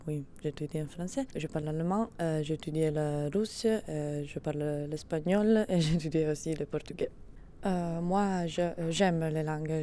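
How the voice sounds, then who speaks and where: A woman's voice: deep voice, dark tone, a little hiss or hum. A young woman from Italy, a language student, speaking French with an Italian accent, hesitantly searching for words.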